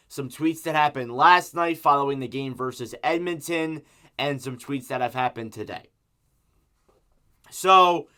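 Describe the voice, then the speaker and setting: A man's voice: a little high, pushed and bright. A man with a sore throat from strep giving an emphatic, exasperated, opinionated sports rant as a fan making an online video. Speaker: hockey fan YouTuber.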